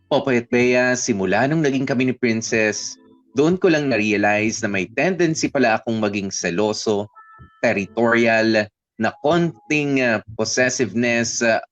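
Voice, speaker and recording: A man's voice: mid-range voice, close to an everyday voice. A man acting a jealous, lovestruck young Filipino who narrates his confession hesitantly in a radio drama, in Taglish. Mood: sheepish, earnest. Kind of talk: first-person letter narration in radio drama.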